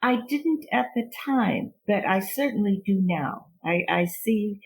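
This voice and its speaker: An older woman from Portugal, a philosophy professor and interview guest, telling her life story in a measured, thoughtful way with small restarts. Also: mid-range voice, expressive, creaky, breathy edge, low-quality recording.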